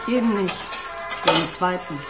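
An actress playing a weary, nagging wife in a radio crime drama, dry and snippy. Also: female voice, lowish voice, moderate pace, loud music behind the voice, natural voice. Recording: roomy echo, clattering dishes.

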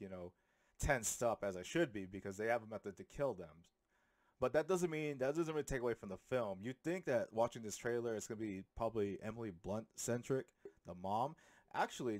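A man in his thirties giving a rambling, animated, sweary film review in a casual comedic style. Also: on the low side, no room sound. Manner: animated, sweary, uses slang. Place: small room at home. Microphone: handheld microphone held close to the mouth.

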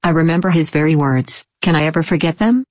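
A man's voice: fairly high pitch, fast, no echo, pitched above the performer's own voice, natural voice. A man voicing an anxious, haughty upper-class married woman with a formal, frosty manner in a story reading. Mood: fearful, trembling. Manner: frigid, offended, formal.

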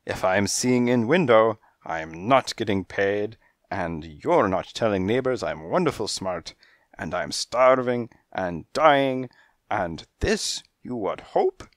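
A man's voice: fairly low pitch, very animated, no room sound, studio quality, full character voice. A man voicing a theatrical, touchy female cat fortune-teller who speaks broken English with grand drama. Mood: indignant. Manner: broken English, dramatic, quick to take offence. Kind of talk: storybook character voice.

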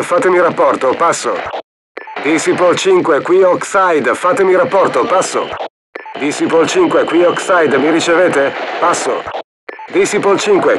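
A man plays a mercenary commander who calls his squads over the radio in short, clipped procedure, his voice sitting a touch high. Steady battlefield noise runs underneath every call. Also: natural voice. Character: male mercenary radio commander, calm and curt. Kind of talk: video game voice acting.

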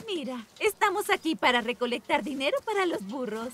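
Bossy children's cartoon lady: high, lively, insistent, coaxing. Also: brisk. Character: keen, bossy cartoon woman organising charity fundraising. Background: faint cartoon music.

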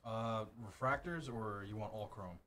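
Low-voiced man in the background of a card break, easygoing replies, heavy wrapper noise. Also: unhurried, a bit bright. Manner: laid-back, short replies. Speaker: co-worker helping with the break. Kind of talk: casual chat.

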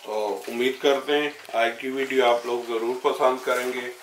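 A man's voice: mid-range voice, little pitch movement, normal speed, a bit bright. A man hosting a home cooking video, narrating a recipe step by step in a plain way. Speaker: home cooking channel host. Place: home kitchen, indoors. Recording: roomy kitchen echo, faint noise, sizzling.

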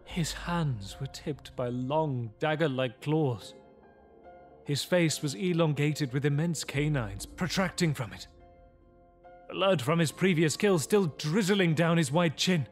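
Frightened young male survivor, played by a man, grave theatrical horror storytelling, somewhat high voice. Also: lively intonation, slow pace, soft background music. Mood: grave, haunted. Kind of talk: dramatic first-person gothic horror narration.

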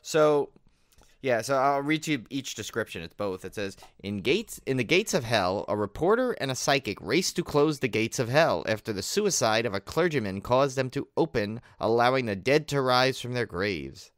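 A man's voice: mid-range voice, moderate pace, no echo, clean studio sound. A man co-hosting a casual podcast on horror releases, rambling and joking. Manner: rambling, jokey, lively. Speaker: podcast co-host.

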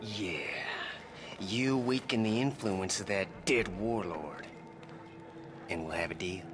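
Erratic wry stronghold chief, slow taunting drawl, game character, noisy ambience. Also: male voice, fairly low pitch. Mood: mocking. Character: wry, wary wasteland stronghold boss, erratic and mocking. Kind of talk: video game character acting.

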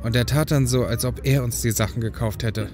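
A male actor as an eager younger brother in a radio play. He is a young man with a mid-pitched voice, casual and curious, with music underneath. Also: steady tone, a little fast, darkish tone, natural voice. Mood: earnest. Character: admiring, grateful younger brother of the policewoman. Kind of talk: German audio drama acting.

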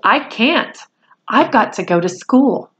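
A woman voicing a caring, earnest schoolboy from a storybook, explaining things plainly and gently. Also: a little high, expressive, slow pace, lo-fi sound, pitched above the performer's own voice. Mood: hesitant.

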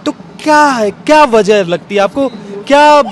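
Guy doing an outdoor interview, kinda high voice, some street noise behind. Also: animated, a little slow, a faint crowd. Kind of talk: on-camera interview. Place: outdoor bench in an open courtyard. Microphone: handheld microphone. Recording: clear outdoor urban background noise.

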